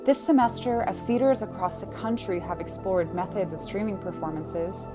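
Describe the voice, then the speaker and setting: A woman's voice: mid-range voice, normal speed. A woman giving polished, informational scripted narration for a promotional program. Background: music.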